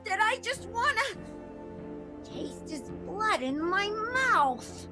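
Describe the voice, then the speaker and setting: A woman playing a confused, slow-witted, vengeful young werewolf in an improvised radio play, bewildered and dramatic. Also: very high voice, sing-song, over music, full character voice. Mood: indignant.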